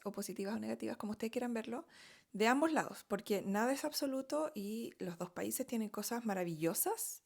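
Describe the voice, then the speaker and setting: A middle-aged woman with a Chilean Spanish accent speaks candidly to her viewers in a relaxed storytime monologue. Her delivery is chatty and open, full of fillers, small laughs and frequent "¿ok?" checks. Her pitch sits in the middle for a woman. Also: gravelly. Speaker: vlogger telling her story.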